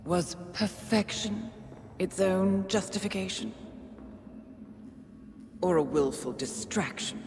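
A woman playing a cool, calculating intelligence handler in a video game, speaking in measured, formal phrases. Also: medium pitch, expressive, gravelly, noisy background. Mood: taunting, cold. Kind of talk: dramatic video game cutscene acting. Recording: long hall reverb under loud music.

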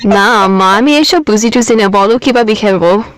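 A woman's voice: medium pitch, moderate pace, lightly acted. A woman voicing a put-upon, easily hurt mother who grumbles and complains in a comedy cartoon. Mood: grumbling. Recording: clear background noise.

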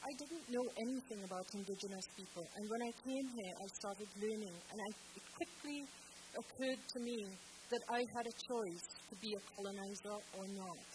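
A woman on a theatre stage panel, answering earnestly and firmly with halting restarts, into a handheld mic. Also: medium pitch. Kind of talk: panel discussion response. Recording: hissy auditorium recording.

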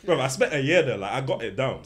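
A male podcast co-host who jokes and laughs through his lines, his pitch moving lively up and down. He sits close to a desk mic in a small studio, with other voices chattering behind. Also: fast, heavy background noise. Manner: joking, laughs while talking. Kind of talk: casual podcast chat.

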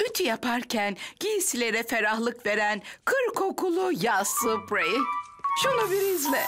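High-pitched gushing cartoon shopkeeper. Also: female voice, big pitch swings, measured pace. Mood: gushing sales pitch. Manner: gushing, theatrical. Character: middle-aged woman shopkeeper, gushing, fussy, theatrical. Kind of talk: animated cartoon dub acting. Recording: loud music behind.

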